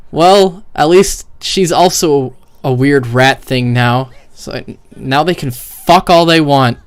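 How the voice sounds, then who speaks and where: A man's voice: a little high, slow pace, clean tone. A man streamer giving casual, off-the-cuff commentary on game cutscenes in a blunt, sweary, opinionated way. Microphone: close mic with gain set very high.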